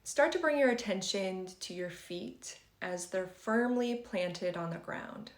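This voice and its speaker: A woman's voice: medium pitch, expressive, unhurried. Echoey woman guiding a meditation. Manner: gentle, conversational, reassuring phrasing. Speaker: meditation guide. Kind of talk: guided meditation instruction for beginners. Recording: roomy, echoing sound.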